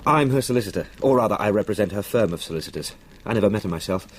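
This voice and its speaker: An actor in a radio play playing a polite, cheerful, formal young solicitor with an English accent. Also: male voice, lowish voice, lively intonation, very fast, slight background noise, close to an everyday voice. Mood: formal, sociable.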